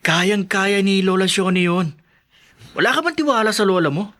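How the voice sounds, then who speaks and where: Eager, carefree college-age lad from the provinces, high voice, animated radio drama delivery male actor. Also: moderate pace. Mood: easygoing. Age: young adult.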